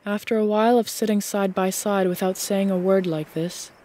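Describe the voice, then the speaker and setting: A woman reading scripted fiction as an audiobook narrator, in a measured storytelling manner. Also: medium pitch, fairly even intonation, warm tone.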